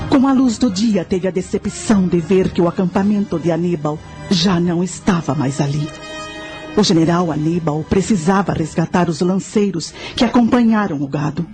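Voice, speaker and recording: A woman's voice: fairly low pitch, moderate pace, a little mellow, soft background music. A woman delivering scripted narration in a radio drama.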